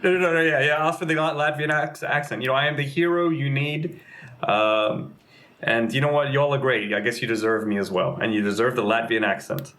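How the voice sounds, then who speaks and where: A man's voice: mid-range voice, steady tone, brisk, a bit bright. Young guy chatting away, lots of ums and you-knows, easygoing interview talk. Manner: rambling, lots of fillers and restarts. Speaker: game community manager and streamer. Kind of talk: casual interview chat.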